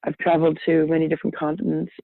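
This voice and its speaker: Gravelly woman on a tinny line. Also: low voice, flat delivery, rapid-fire, no echo. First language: French. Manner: reflective, rambling, self-correcting. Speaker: podcast guest. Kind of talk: casual personal storytelling. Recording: tinny phone-line sound, dry.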